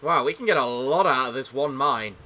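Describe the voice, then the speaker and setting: A young English man giving casual let's-play commentary in a theatrical gentleman persona, a little sick, with a voice that sounds off. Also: mid-range voice, fairly quick. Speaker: gaming YouTuber.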